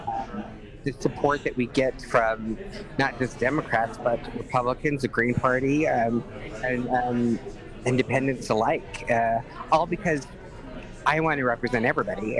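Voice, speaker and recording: A man's voice: mid-range voice, rough recording. A man with a cold, sounding a little nasal, speaking earnestly as a candidate with hesitant fillers. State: has a cold, a bit nasal. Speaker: candidate guest. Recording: crowd chatter behind.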